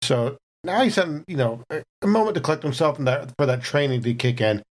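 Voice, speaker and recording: Mid-pitched man, halting game player. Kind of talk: casual game talk, describing his character's actions.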